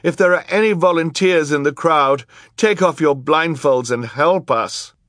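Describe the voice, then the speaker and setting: A man's voice: a little high, a little slow, fairly bright tone, no echo, clean studio sound, voice pushed up. Raised, defiant orphan boy done by a man. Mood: urgent appeal.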